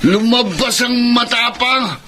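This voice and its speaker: A man's voice: very high voice, takes it slowly, background noise. A drunk, brawling young man. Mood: drunk, combative. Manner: slurred, taunting and combative. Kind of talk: radio drama acting.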